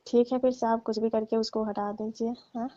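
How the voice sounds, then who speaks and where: A woman's voice: a little high, level tone, brisk, dark tone, no room sound. Muffled, pleading woman on a phone call. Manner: pleading, deferential. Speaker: domestic worker on a call with employer. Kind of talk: private phone conversation.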